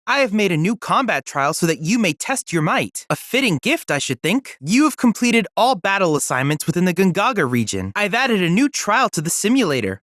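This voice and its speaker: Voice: medium pitch, big pitch swings, brisk, few pauses, fairly bright tone, no echo, studio quality. An actor playing a polite, scholarly teenage boy android research assistant in a video game, speaking in a formal, precise, courteous way. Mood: pleased, courteous. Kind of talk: scripted video game character dialogue.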